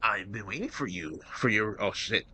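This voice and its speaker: A man's voice: lowish voice, animated, moderate pace, pushed and bright, a little hiss or hum. A young man casually commenting over his gameplay, slangy and loose, talking half to himself. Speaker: gaming streamer. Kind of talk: casual let's-play commentary.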